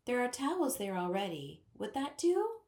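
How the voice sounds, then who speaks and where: A bright, earnest young girl from a storybook, polite and eager to please, as a woman puts her on. Her voice swoops high with lively pitch and has a roomy echo. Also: normal speed.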